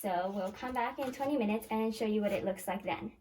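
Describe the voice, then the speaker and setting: A 12-year-old girl with a squeaky voice hosts a casual hands-on science demo, chatting and correcting herself as she walks through each step in a small home lab. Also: fairly quick, darkish tone, slight background noise. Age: 12 years old. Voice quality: very high squeaky young voice. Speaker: young science channel host. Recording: voice from a distance off the mic.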